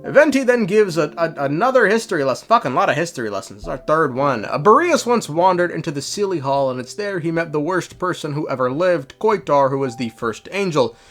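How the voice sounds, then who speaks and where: A man's voice: a little high, very animated, fairly quick, few pauses, fairly bright tone. A man giving a casual, irreverent game story recap with sweary, sardonic asides.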